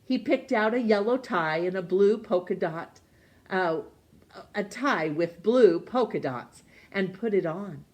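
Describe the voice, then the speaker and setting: A woman reading a children's storybook as narrator, expressive, with dramatic pauses and emphasis. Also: medium pitch. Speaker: storytime reader.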